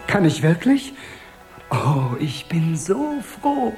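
An actor in a 1970s radio drama playing a timid, anxious office clerk, hesitant and apologetic. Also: male voice, on the high side, big pitch swings, slow, darkish tone, faint music behind. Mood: eager relief. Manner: hesitant, polite, stammering apologies. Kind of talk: 1970s German-language radio drama acting.

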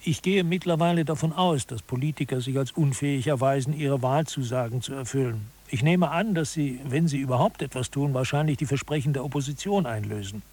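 A man's voice: mid-range voice, brisk, hardly any pauses, a little mellow, close to an everyday voice. An actor playing a disillusioned male author who narrates an audio drama in an articulate, wry, essayistic manner. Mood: wry, resigned. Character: male author, disillusioned observer of human incompetence.

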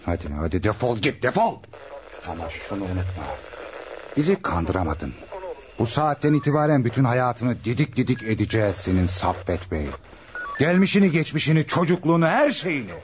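A man's voice: medium pitch, very animated, a little fast, background noise, close to an everyday voice. An actor playing a blunt, aggressive male police detective in a radio play. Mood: angry, threatening. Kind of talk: Turkish radio theater acting.

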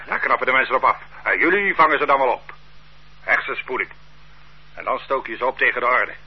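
An actor playing a stern interrogator who accuses and bullies his suspect in a radio drama, with a husky voice and curt manner. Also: medium pitch, lively intonation, fairly quick. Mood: menacing. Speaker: interrogating official. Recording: dull lo-fi radio play recording.

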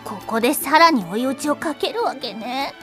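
Perky anime schoolgirl, cutesy and exaggerated, very high, light music behind. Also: normal speed. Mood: brisk, confident. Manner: cutesy, exaggerated expressive delivery. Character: cute, spirited anime teenage girl. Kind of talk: Japanese visual novel audio drama acting.

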